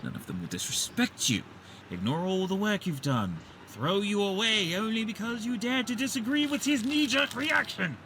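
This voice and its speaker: An actor playing a vain, calculating villain doctor in a theatrical comic dub, with a precise and superior manner. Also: male voice, high voice, sing-song, moderate pace, sharp tone, a little hiss or hum, heavily acted, poor audio. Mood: indignant. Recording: prominent background music behind voice.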